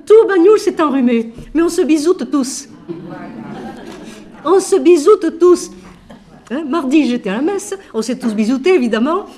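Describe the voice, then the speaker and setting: Expressive French-speaking nun with a cold. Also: female voice, sits high, unhurried, long pauses. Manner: expressive, shifting from loud emphasis to quiet asides; asks rhetorical questions. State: has a cold. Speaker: Franciscan sister and author. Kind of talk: animated talk about her book.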